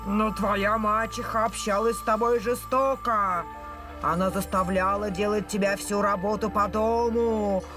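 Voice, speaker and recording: A woman plays a menacing tree spirit in a cartoon. The words come slowly and drawn out, stern and hostile, over prominent music. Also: medium pitch, steady tone, natural voice. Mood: angry, accusing. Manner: slow, drawn-out commands. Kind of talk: cartoon dubbing.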